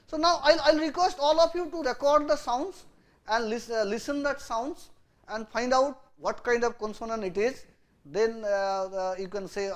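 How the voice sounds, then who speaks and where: A middle-aged man with a Bengali accent, speaking English as he lectures a class at a chalkboard. He often restarts phrases, stressing key words with sudden jumps in pitch before dropping low. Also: a bit bright. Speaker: university lecturer. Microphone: lapel mic.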